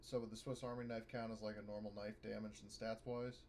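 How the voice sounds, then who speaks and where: A man's voice: lowish voice, little pitch movement, fairly quick, a little mellow. A man playing a tabletop game, chatting casually and piecing his questions together hesitantly.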